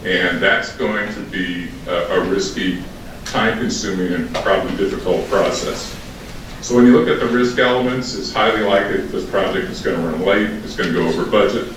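A retired older man giving a measured, businesslike public comment at a county board meeting. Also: low-pitched. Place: large council chamber. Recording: echoey hall from far off, noisy.

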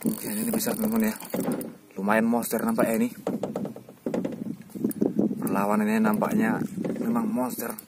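A man's voice: lowish voice, flat delivery, moderate pace, pauses often. A young man commentating his night fishing trip outdoors, exclaiming as the fish fights the line. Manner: exclaims loudly at the reel's screaming. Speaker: fishing vlogger. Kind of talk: excited live commentary. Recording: outdoor noise.

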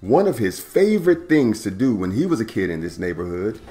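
A man in his thirties hosting a storytime, reading a children's picture book aloud indoors with a playful, animated delivery. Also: on the low side, a little fast, faint music behind. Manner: animated, playful, stretches words for young listeners. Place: indoor room at home.

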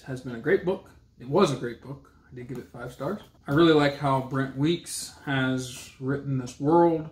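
A man in his thirties chatting about books as a vlog co-host, in playful, halting short phrases, recorded in an echoey room at home. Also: medium pitch. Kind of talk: casual book review chat. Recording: echoey room with background noise.

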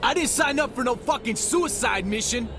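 A man's voice: very high-pitched, lively intonation, moderate pace, over music. A man playing an aggressive, foul-mouthed, hot-headed soldier in a video game. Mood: angry sarcasm. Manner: aggressive, sweary. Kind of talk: dramatic video game acting.

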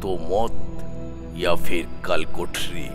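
Deep, commanding cartoon king. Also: fairly even intonation, drawn-out words, big-room sound, over music. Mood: stern. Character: stern, commanding cartoon king troubled by theft.

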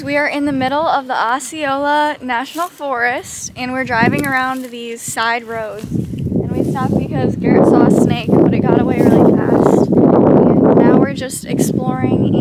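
A young woman in her twenties vlogs to a handheld camera in a casual, chatty way. Her voice sits high, and she reacts quickly and loudly when startled. Steady background noise runs under the audio. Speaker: outdoor vlogger. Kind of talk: casual vlog narration. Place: motel room, then outdoors in a pine forest.

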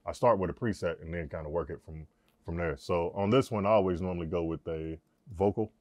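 A man in his forties with a deep voice, a mix engineer casually explaining his work in a studio control room, relaxed and slangy. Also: brisk, no echo. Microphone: lapel mic.

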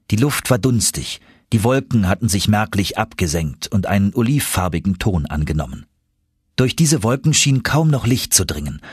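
A man narrating an audiobook story in an expressive, suspenseful, dramatic manner. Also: on the low side, slightly rough, dry recording, clean studio sound.

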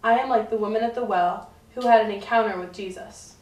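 A woman's voice: medium pitch, fairly quick, dull audio, natural voice. Woman doing a shameless bragging medieval wife for a puppet show, roomy and a bit distant. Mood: boastful. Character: boastful, much-married medieval wife, frank and unashamed. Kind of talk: scripted puppet show monologue. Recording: echoey, distant mic, faint music.

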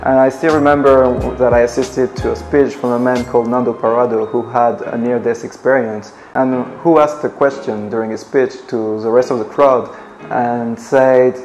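French accent guy talking to camera at home, reflective and earnest, soft music under it. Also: mid-range voice, fairly even intonation, moderate pace, poor audio. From Ivory Coast. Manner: earnest, reflective, many fillers. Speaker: travel blogger. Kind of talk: personal story to camera. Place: living room indoors. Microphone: camera at desk distance.

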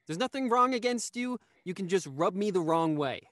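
A man's voice: high-pitched, animated, moderate pace, a bit bright, no echo, clean studio sound. A young man playing a cocky, hot-headed young actor in an audio drama. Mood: confrontational. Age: young adult.

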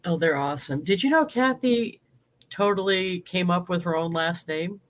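A woman's voice: lowish voice, moderate pace. An older woman, hosting a casual interview over a video call from her home office and laughing often. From United States. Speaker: host. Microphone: webcam on a video call.

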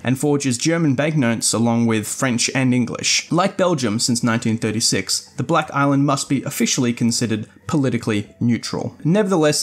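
Male podcast host, mid-pitched voice, scripted essay narration, measured, articulate, formal.

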